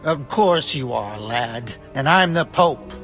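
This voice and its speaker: A suspicious castle gate guard in a game drama. He challenges with mocking sarcasm in a mid-pitched voice, over faint music. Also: animated, slow pace, fairly bright tone. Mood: sarcastic, suspicious. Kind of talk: video game cutscene dialogue.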